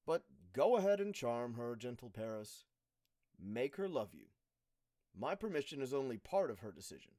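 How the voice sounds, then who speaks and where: A man playing an older, courteous noble lord and protective father in a measured, declamatory audio drama style. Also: mid-range voice, darkish tone, lightly acted. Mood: measured, cautious. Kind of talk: audio drama acting of modernized Shakespeare.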